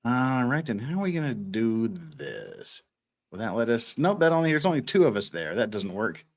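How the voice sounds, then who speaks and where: A man's voice: medium pitch, big pitch swings, moderate pace. A man hosting a casual livestream, rambling and repeating words as he thinks aloud. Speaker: host of an online show.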